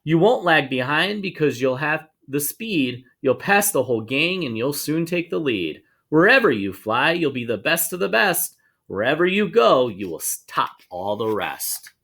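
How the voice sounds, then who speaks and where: A man reads a rhyming children's story aloud. His voice sits a little high and swings widely in pitch, with noticeable creak. He is expressive and sing-song, stretching out words for emphasis. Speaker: storybook reader for schoolchildren. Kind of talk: animated read-aloud of a rhyming children's book.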